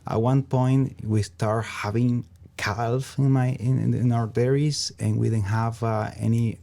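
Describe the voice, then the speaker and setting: A man from Venezuela with a Venezuelan Spanish accent, answering interview questions in a halting way as he searches for words. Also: medium pitch, steady tone, creaky, dark tone. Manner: halting, searching for words, frequent "you know". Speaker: interview guest, small business founder.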